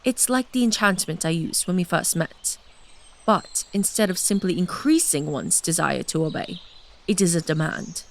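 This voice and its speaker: An actress playing a seductive, scheming blood queen who has lived hundreds of lives, speaking in a measured, intimate way in a fantasy audio drama. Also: on the low side, vocal fry. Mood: earnest. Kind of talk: full cast audio drama.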